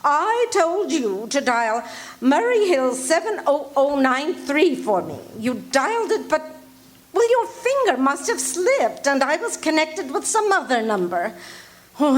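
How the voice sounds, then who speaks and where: A woman acting a high-strung, imperious wealthy lady in a live radio play, urgent and breathless. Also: big pitch swings, unhurried. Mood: indignant. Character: anxious demanding wealthy woman, invalid. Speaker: radio drama actor. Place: RV resort hall before a live audience.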